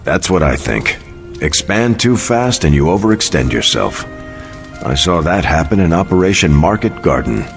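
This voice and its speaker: An actor playing an earnest, thoughtful veteran soldier superhero in a video game, speaking in measured declarations. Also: male voice, low voice, big pitch swings, vocal fry, soft background music, exaggerated voice. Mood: earnest, eager.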